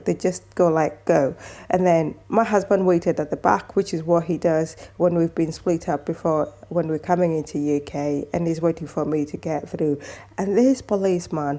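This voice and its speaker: A woman's voice: deep voice, mellow. A woman from Kenya chatting casually to camera, telling a long story with restarts and asides. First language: mother tongue other than English, also Swahili. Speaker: vlogger.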